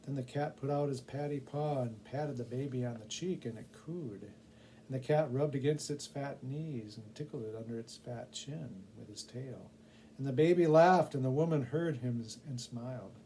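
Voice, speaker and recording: A man's voice: mid-range voice, normal speed, mellow, a little hiss or hum, poor audio. A man with a casual, conversational manner, chatting and narrating a storybook as a volunteer reader.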